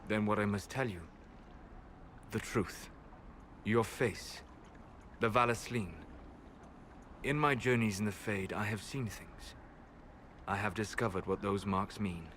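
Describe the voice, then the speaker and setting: An actor playing a guarded, scholarly male elf mage who speaks calmly and in a measured way, in game cutscene dialogue. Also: fairly low pitch, slight background noise. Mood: solemn. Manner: calm, measured, soft-spoken. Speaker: video game character.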